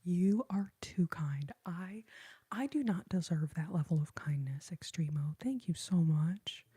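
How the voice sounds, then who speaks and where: A woman's voice: lowish voice, lively intonation, a little slow, warm tone, slightly rough. A young woman whispering to her livestream viewers during an ASMR stream, greeting them with pet names, close to a phone mic. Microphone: phone held close.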